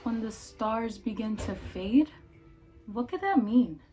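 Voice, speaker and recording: A woman's voice: fairly high pitch, animated, slow pace, mellow, faint music behind. A woman playing a teasing, amused character in a 1940s radio play. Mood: puzzled.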